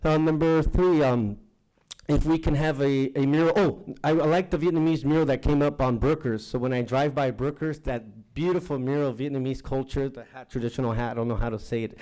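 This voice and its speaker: A man whose first language is Nahuatl gives his public comment in a mellow, dark-toned voice. He rambles from point to point, halting often on fillers, at an ordinary pitch for a man. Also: low-quality recording. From Anaheim, California. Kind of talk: public comment at a council meeting.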